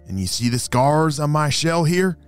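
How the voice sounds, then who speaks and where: A man voicing a 137-year-old grandpa tortoise, wise and protective, who speaks slowly and gently. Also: fairly high pitch, animated, clear, resonant tone, soft background music, close to an everyday voice. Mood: gentle.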